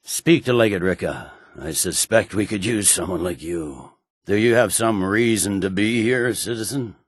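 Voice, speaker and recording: A man's voice: on the low side, moderate pace, dry recording, clean studio sound, lightly acted. A man playing a stern, weary imperial general in a video game, speaking with clipped, brusque, formal authority. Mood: curt, skeptical. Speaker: military commander. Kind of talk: scripted video game dialogue.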